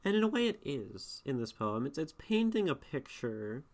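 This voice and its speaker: A woman's voice: very deep voice, big pitch swings, moderate pace, darkish tone. Thoughtful rambling woman streamer. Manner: thoughtful, rambling, with frequent restarts. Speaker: streamer and poetry critic. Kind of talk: casual live-stream chat, reading and answering chat.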